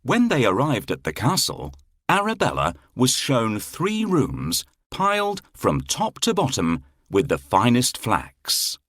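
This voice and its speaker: A male storyteller reading a fairy tale to children with theatrical expression. His lowish voice swings widely in melody and moves very slowly, in a dry recording. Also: huge pitch swings, a bit bright, no echo.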